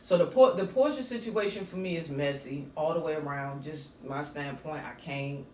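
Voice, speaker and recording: A woman with a slightly raspy voice, co-hosting a podcast with blunt, animated banter. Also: deep voice, moderate pace. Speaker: podcast co-host. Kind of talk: casual chat. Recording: distant mic in an echoey room with faint noise.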